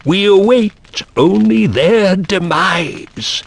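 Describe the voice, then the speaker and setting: A man voicing the solemn, proud spirit of a dead reptilian emperor in a fantasy video game, stately and gravelly. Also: high-pitched, animated, very slow, exaggerated voice. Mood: solemn, imploring.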